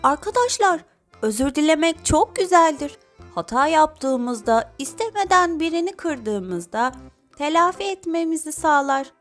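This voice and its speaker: A woman's voice: high voice, very animated, measured pace, soft background music. A woman narrating scripted children's stories and addressing young listeners in an instructive way. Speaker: narrator and channel presenter.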